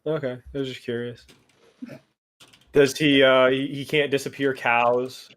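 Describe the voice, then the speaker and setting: A man's voice: mid-range voice, little pitch movement, unhurried, a bit bright. A man in a tabletop roleplay group, chatting casually with joking, teasing remarks. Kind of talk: casual bantering chat.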